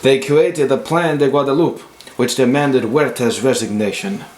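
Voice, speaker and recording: A man's voice: medium pitch, moderate pace, darkish tone. A man working as narrator, reading a scripted amateur history account. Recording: echoey room with faint noise.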